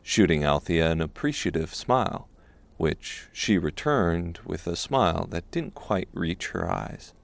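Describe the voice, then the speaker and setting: A man reading scripted dark fantasy narration as an audiobook narrator. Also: low-pitched, lively intonation, a little slow, vocal fry, no echo.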